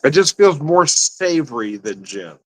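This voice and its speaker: A man's voice: a little high, big pitch swings, unhurried, a bit bright. A man giving a casual, rambling tasting review, talking to the camera with frequent laughs and restarts. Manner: chatty, rambling, laughs often. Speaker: drinks reviewer.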